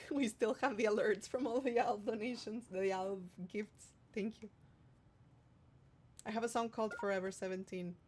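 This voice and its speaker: A woman's voice: medium pitch, steady tone, normal speed, a little mellow, slight background noise. Female livestream host, mid-range voice, casual and affectionate, playful while reading and answering viewer messages. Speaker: streamer hosting a livestream, singer-songwriter.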